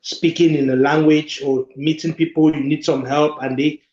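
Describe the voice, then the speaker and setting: A man's voice: fairly high pitch, little pitch movement, moderate pace, a little mellow. A man hosting an interview, asking short questions in a friendly, casual way. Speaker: interview host. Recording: echoey, dull-sounding room.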